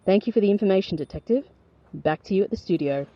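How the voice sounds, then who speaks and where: A woman's voice: mid-range voice, expressive, fast, no echo, lightly acted. Woman as eager news field reporter, slightly muffled. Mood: curious, polite. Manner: broadcast-polite, emphatic. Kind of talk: audio drama news report.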